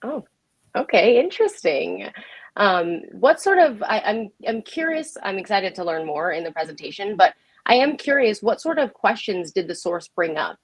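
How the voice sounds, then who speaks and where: A woman's voice: medium pitch, very animated, moderate pace, breathy edge, no echo. A woman speaking as the host of a livestream show, introducing and interviewing.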